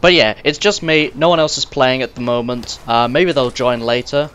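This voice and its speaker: A young man, slightly croaky and sniffly, rambling casually to the viewer as he plays and comments on a game. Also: medium pitch, moderate pace, fairly bright tone. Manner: rambling, chatty, talks straight to the viewer. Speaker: gamer recording gameplay commentary. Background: game music and sounds.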